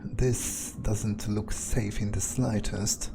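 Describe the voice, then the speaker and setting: A man role-playing a wary, foul-mouthed vampire in a game, thinking aloud in a hesitant, muttering monologue with pauses and swearing. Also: on the low side, flat delivery, creaky, noisy background. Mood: wary, uncertain. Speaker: gameplay video creator role-playing his character. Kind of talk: in-character improvised gameplay monologue, thinking aloud.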